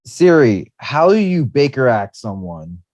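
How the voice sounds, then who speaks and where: A man's voice: medium pitch, big pitch swings, unhurried, darkish tone. A man acting a laid-back, easily impressed slacker buddy in a screenplay table read. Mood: worried.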